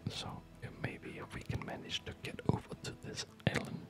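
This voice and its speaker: A person whispering breathy, unhurried ASMR commentary while playing a video game, musing softly aloud. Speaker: video gamer narrating own play. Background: music.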